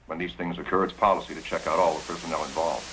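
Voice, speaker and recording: A man's voice: low-pitched, steady tone, fast talker, lightly acted. An actor playing a businesslike male military investigator in an old film. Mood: firm. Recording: noisy archival film soundtrack.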